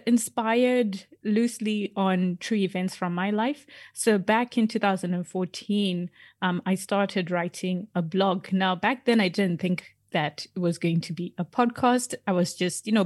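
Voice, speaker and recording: A woman's voice: medium pitch, a little mellow, dry recording, clean studio sound. A woman chatting casually as an interview guest about her work as a podcast writer, in an informal, upbeat way.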